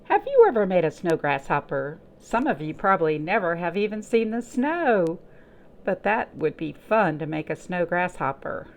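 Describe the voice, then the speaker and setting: A woman's voice: lowish voice, sing-song, moderate pace. A woman leading a library storytime, chatting encouragingly to young children and asking them simple questions as she reads aloud.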